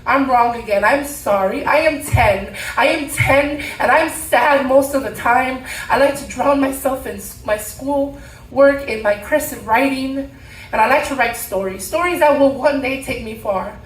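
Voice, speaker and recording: A woman in her thirties performing spoken word poetry live, emphatic, with deliberate repeated phrases. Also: sits high. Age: 30s. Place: room at a live poetry event. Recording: roomy, distant mic, faint background noise.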